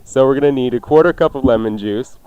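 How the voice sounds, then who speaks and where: A man's voice: mid-range voice, quick pace, lo-fi sound, crowd noise. A man hosting a radio cooking show in a casual, jokey, chatty style. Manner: jokey, informal banter.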